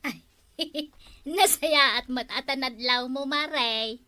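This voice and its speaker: A woman's voice: high-pitched, takes it slowly, sharp tone, no echo, full character voice. A woman with a Filipino accent playing a vain, touchy villager in a radio comedy drama. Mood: smug.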